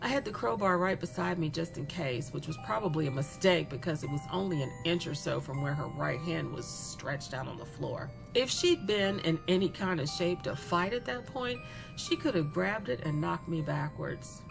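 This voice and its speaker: A woman's voice: low-pitched, lively intonation, creaky. A woman playing a gleeful, remorseless young killer in a horror audio story, chatty and flippant, stretching words for emphasis. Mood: musing. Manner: conversational, flippant, giggly, with drawn-out emphasis. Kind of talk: dramatic first-person monologue in a horror audio story. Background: music.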